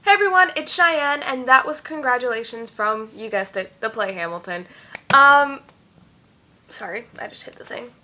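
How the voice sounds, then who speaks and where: A young woman chatting at home in a rambling vlog, full of fillers, her voice a little hoarse. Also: a little high, animated, moderate pace, pushed and bright, slight background noise. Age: young adult. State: slightly hoarse, losing her voice. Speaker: YouTube singer. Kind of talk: casual vlog chat.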